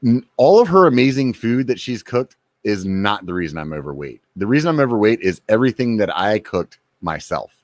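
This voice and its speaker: A man's voice: on the low side, very animated, brisk, hardly any pauses, no room sound. A man in his forties, hosting a casual podcast chat, joking and acting out his own food cravings. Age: 40s. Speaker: podcast host.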